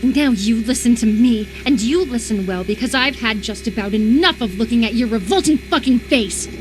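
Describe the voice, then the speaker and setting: A woman's voice: on the high side, normal speed, background noise, close to an everyday voice. A woman voice-acting an unhinged, obsessive lover in a horror visual novel, intense and volatile, swinging between tenderness and menacing fury. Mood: cold menace. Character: unhinged, obsessive lover convinced an impostor replaced their beloved.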